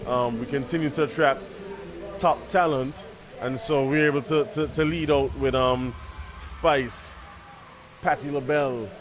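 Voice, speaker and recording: A man answering interview questions as an event chairman, halting and repeating his words. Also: mid-range voice, steady tone, crowd noise. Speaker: festival committee chairman being interviewed. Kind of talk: spontaneous on-location interview. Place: at a music festival at night. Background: live concert music.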